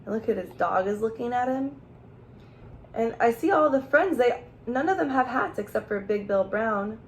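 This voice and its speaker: A woman's voice: a little high, expressive, normal speed, slight background noise, rough recording. A woman teacher reading a children's storybook aloud, expressively, with questions and asides addressed straight to young listeners.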